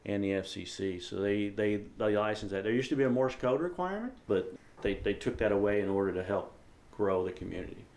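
A man's voice: lowish voice, expressive, fairly quick, gravelly, a little mellow. A man speaking casually as an amateur radio operator being interviewed, explaining how things work. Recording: roomy echo, some background noise.

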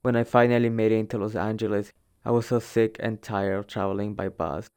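A young adult man recounts his own experience in a conversational, slightly hesitant manner. His voice sits fairly low and sounds clear and smooth. He often doubles a word for emphasis as he goes. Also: steady tone, brisk, darkish tone. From El Salvador. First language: Spanish. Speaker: storyteller recounting own migration. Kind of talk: informal personal narrative.

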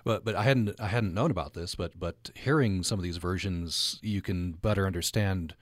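A man with a fairly low voice hosts a conversational radio interview in a dry, close sound. He feels his way through questions, often restarting and repeating words. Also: no echo. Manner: halting, repeats words while forming questions.

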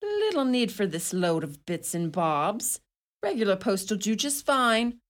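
An actress playing a scolding, sharp-tongued Irish mother in a radio play, theatrical and scornful. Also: medium pitch, big pitch swings, a little slow. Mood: brisk, bossy. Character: sharp-tongued, indignant Irish mother, middle-aged or older.

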